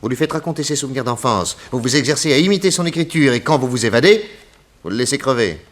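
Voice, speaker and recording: A male actor as a self-assured detective from a sixties French radio play. His delivery is theatrical and methodical, each point stressed, with pitch that swings widely around a middle register. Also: expressive, quick pace, close to an everyday voice. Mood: relentless exposition. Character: confident male detective laying out an accusation. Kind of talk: 1960s French radio drama acting.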